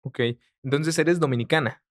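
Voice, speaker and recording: A woman's voice: deep voice, moderate pace. A woman asking questions in a casual, conversational way as an interviewer.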